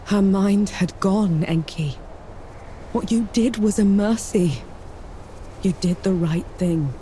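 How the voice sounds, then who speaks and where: A woman's voice: mid-range voice, takes it slowly, slight background noise, close to an everyday voice. A woman playing a determined, blunt, battle-hardened soldier in a dramatic game cutscene. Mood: consoling. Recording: loud cinematic music behind.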